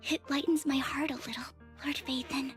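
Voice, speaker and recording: A guilt-ridden young woman from a video game played by an actress, devoted and sorrowful. Her voice is high, soft and breathy, with faint music underneath. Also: fairly even intonation, brisk, close to an everyday voice. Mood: tender gratitude. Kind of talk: dramatic video game voice acting.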